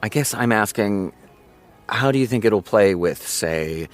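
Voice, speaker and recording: A man's voice: fairly low pitch, animated, unhurried, no room sound, faint music behind. A man playing a self-important TV writer, wordy, hesitant and defensive, in a naturalistic audio drama. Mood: uneasy, guarded.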